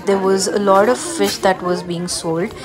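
A woman's voice: mid-range voice, fairly even intonation, a little slow, a crowd in the background. A young adult woman with an Indian accent, narrating a travel vlog in a casual way. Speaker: travel vlogger.

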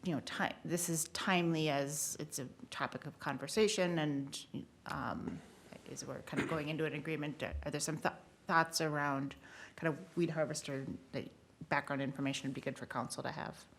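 A woman's voice: low-pitched, steady tone, normal speed, a little hiss or hum. A woman at a council meeting asking questions in a tentative, roundabout way. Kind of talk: formal meeting discussion.

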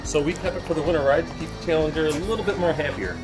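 A man's voice: a little high, racing, poor audio. A man in his forties chatting casually about his cars, telling long stories with many fillers and restarts, recorded first inside a car and then outdoors in a driveway. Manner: rambling storyteller, fillers and restarts. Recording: background music under the talk.

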